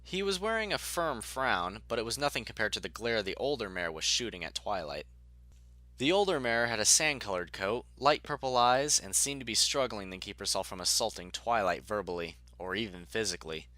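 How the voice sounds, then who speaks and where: A man's voice: on the low side, animated, fairly quick, pushed and bright, no echo. A man narrating a scripted story as its narrator.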